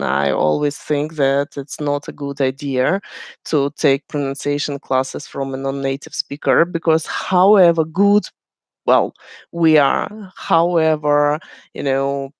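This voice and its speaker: Creaky-voiced woman. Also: sits low, no room sound. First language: Ukrainian. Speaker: host. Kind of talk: casual live chat.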